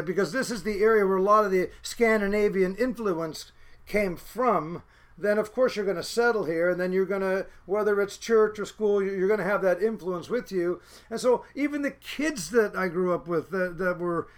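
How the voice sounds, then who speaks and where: A middle-aged man with a notably high voice, raised speaking Norwegian, looks back on his childhood in a loose, rambling way. He stops and restarts his sentences often, leaning on fillers as he talks. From Seattle area, USA. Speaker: fisherman's son recalling childhood. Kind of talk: casual reminiscing.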